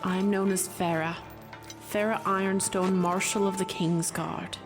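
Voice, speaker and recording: A woman's voice: mid-range voice, flat delivery, measured pace, close to an everyday voice. A woman playing a stern, proud dwarf marshal in a fantasy audio drama, with a strong, carrying, formal and scornful delivery. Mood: commanding. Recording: music under the voice.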